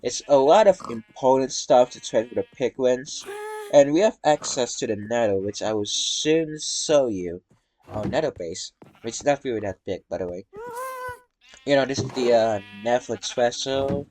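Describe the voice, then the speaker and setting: A young man from Indonesia narrating a tour of his game world in a halting, rambling way, tired from talking. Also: mid-range voice, lively intonation, darkish tone, a little hiss or hum. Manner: halting, rambling, many fillers and restarts. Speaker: Minecraft world tour vlogger. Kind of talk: casual gameplay walkthrough narration.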